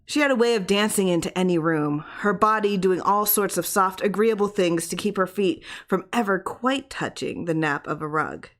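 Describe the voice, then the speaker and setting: A woman reading a literary horror story aloud in expressive audiobook narration. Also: mid-range voice, moderate pace, few pauses. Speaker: audiobook reader.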